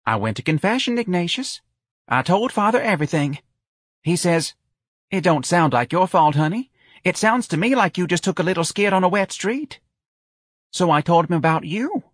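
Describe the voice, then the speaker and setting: An audiobook mother, acted out by a man, middle-aged, working-class and forever fussing. The voice is husky and swoops up and down in a folksy, plaintive way, recorded close and dry. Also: high-pitched, big pitch swings, normal speed, no echo, voice pushed up, full character voice. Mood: earnest, chatty. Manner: folksy ungrammatical dialect speech. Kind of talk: audiobook character voice.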